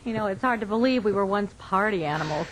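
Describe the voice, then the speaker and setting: A woman's voice: medium pitch, animated, fast, a little hiss or hum, close to an everyday voice, rough recording. An actress playing a good-natured young American wife and mother in a radio drama, light, teasing and conversational. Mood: gently coaxing.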